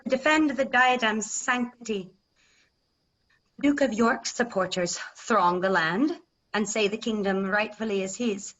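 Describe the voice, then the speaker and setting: Woman acting a measured, resolute grieving queen. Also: mid-range voice, a bit bright. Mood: resolute, commanding. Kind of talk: classical verse stage acting. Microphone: video call. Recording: muffled video-call audio.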